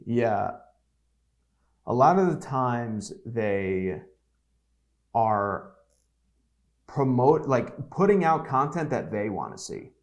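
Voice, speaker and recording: A man's voice: medium pitch, animated, slow, pauses often. Blunt, plainspoken young man. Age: 20s. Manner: blunt, candid advice with casual swearing. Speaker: podcast guest, agency CEO. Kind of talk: casual podcast conversation.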